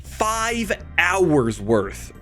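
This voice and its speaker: A slightly high-voiced man narrates a scripted video essay with a very lively, swinging intonation. He keeps it conversational and drops in wry asides, with music playing underneath. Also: very slow.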